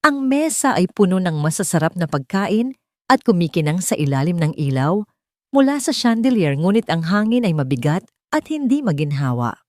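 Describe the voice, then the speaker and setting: A woman's voice: mid-range voice, a little mellow, no echo, studio quality. A woman reading a scripted, dramatic story as an audiobook narrator.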